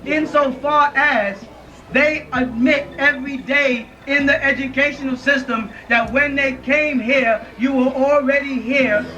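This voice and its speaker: A man's voice: extremely high pitch, fairly even intonation, measured pace, sharp tone. A man giving an emphatic, informal lecture to a live audience in a room, often checking in with his listeners. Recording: dull lo-fi audio from a distant mic.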